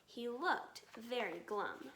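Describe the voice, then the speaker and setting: A woman reads a children's story aloud with expression. Her voice is high and bright, and she takes her time over the words. The room adds a noticeable echo. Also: expressive, takes it slowly, slight background noise. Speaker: storybook reader. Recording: echoey, reverberant room.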